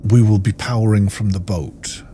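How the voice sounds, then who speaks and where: A man's voice: on the low side, fairly even intonation, normal speed, dark tone, slight background noise. A man giving casual gameplay commentary, thinking aloud in an informal way. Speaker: gaming video host.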